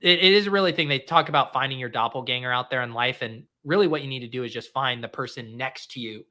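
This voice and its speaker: A man in his thirties with a nasal voice, hosting a casual chat and trading goofy deadpan jokes. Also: mid-range voice, quick pace. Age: 30s. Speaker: host.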